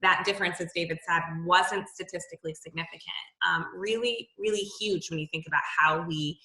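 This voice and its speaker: A woman's voice: lowish voice, fairly even intonation, brisk, pushed and bright, far from the mic. A woman co-hosting an online teaching course, chatting casually with frequent "um"s and easy laughter. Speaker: course co-host, literacy educator. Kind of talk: casual instructional discussion. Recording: roomy echo, off-mic.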